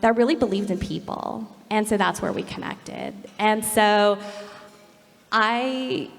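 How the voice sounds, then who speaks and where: A woman's voice: medium pitch, takes it slowly, creaky. A woman speaking on a panel about her career, candid and self-deprecating. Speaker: panelist, gaming company executive. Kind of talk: panel discussion. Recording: long hall reverb.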